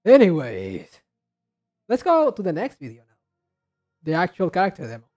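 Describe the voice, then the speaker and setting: A man giving casual commentary as a reaction video host, rambling haltingly and often repeating and restarting his words. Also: high voice, wildly swooping pitch, a little mellow, soft background music. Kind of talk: casual commentary on game trailers.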